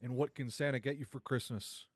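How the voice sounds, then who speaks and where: A man's voice: medium pitch, steady tone, a little fast, dry recording, natural voice. A man playing an irritable fake department-store Santa in a live comedy table read, jolly on the surface and snappish underneath. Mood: jovial, forced.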